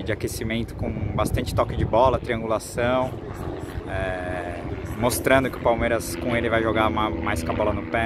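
A Brazilian man in his twenties gives an informal football vlog report to camera, in a halting way with repeated words, speaking into a phone at arm's length outdoors. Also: fairly low pitch. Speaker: sports reporter for a football fan channel. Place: outdoors on a football training pitch. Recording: outdoor noise with distant vehicles.

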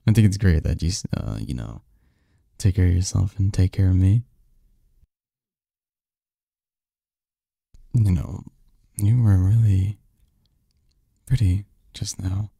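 Man acting a dark, husky lovestruck young man. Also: low voice, dry recording, clean studio sound, full character voice. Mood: tender, admiring. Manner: halting, breathy, murmured confession with stammers. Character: lovestruck young male research assistant confessing to his best friend. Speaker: audio roleplay performer. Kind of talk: intimate ASMR romance roleplay.

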